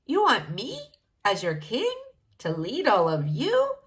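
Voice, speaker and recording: A woman's voice: mid-range voice, wildly swooping pitch, slow pace. A woman voicing a humble, bewildered young man in a storybook, hesitant and full of wonder.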